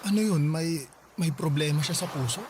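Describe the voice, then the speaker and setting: A man's voice: on the high side, brisk, a little mellow, a little hiss or hum, natural voice. An actor playing a resolute young husband and father, firm and reassuring. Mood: alarmed. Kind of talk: radio drama acting. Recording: voice over drama music.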